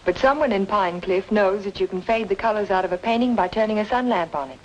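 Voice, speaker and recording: A woman's voice: mid-range voice, quick pace, muffled recording, slight background noise, close to an everyday voice. A woman playing a determined, lovelorn young amateur sleuth in an old-time radio noir drama, speaking earnestly and insistently. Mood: urgent, certain.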